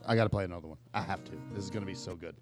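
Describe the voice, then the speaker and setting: Lowish-voiced man in casual podcast banter. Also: racing. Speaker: podcast co-host. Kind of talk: casual banter, presenting songs. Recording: music playing behind the voice.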